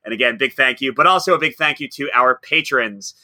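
A man's voice: mid-range voice, a little fast, sharp tone, no room sound. A man co-hosting a casual podcast, upbeat and teasing, laughing often. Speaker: podcast co-host.

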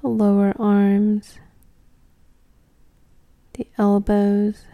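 A woman leading a guided meditation in a gentle, soothing, unhurried way, leaving long pauses between short phrases. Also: medium pitch, monotone, dry recording.

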